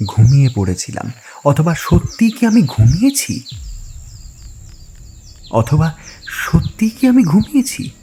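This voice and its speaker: A man narrating a horror story in the first person, suspenseful and dramatic, with halting pauses. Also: a little high, warm tone, slight background noise.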